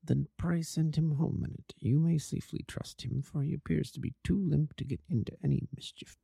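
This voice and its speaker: A man reading a story aloud, voicing a calm, masterful detective who speaks in a precise, definite way. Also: fairly low pitch, moderate pace, dry recording, close to an everyday voice. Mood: hushed.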